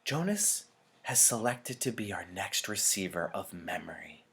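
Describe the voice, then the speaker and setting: A man's voice: sits low, animated, vocal fry, a bit bright, husky, full character voice. A man voicing a gracious, commanding female chief elder who addresses a crowd formally in an audiobook reading. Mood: firm, commanding. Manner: formal, measured, solemn.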